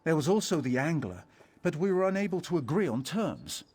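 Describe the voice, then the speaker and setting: Snooty indignant scholar in a fantasy game, highish voice that goes up and down a lot, wordy. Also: male voice, big pitch swings, a little fast, a little mellow, slightly rough. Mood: haughty. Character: haughty, aggrieved male scholar-artificer adventurer. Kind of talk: video game character dialogue.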